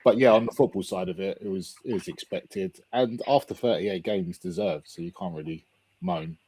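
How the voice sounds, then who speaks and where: A man's voice: low voice, animated, moderate pace, gravelly, mellow, slight background noise, poor audio. A man giving blunt, sarcastic opinions on a football podcast. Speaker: podcast panelist. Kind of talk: casual chat.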